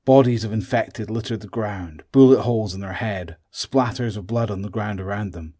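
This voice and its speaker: A man's voice: on the low side, normal speed, warm tone. A man reading scripted narration for a horror audio drama.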